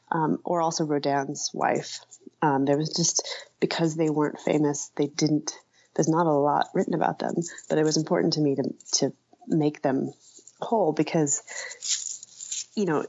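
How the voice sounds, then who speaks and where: Woman author chatting in an interview, kinda low voice, dry dull sound, keeps rephrasing as she thinks. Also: darkish tone, no room sound. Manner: halting, thoughtful, often rephrasing mid-sentence. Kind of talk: conversational interview answers.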